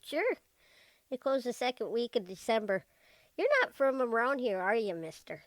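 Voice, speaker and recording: A woman's voice: on the high side, expressive, moderate pace, no room sound, natural voice. A woman playing a cagey, street-smart eleven-year-old girl in an old-time radio detective drama. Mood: guarded, matter-of-fact.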